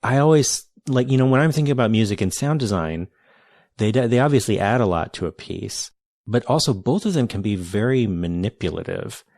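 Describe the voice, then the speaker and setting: A man's voice: lowish voice, lively intonation, brisk, darkish tone, no echo, studio quality. A man hosting a podcast interview, enthusiastic and searching for words with frequent restarts. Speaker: podcast host. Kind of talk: casual interview.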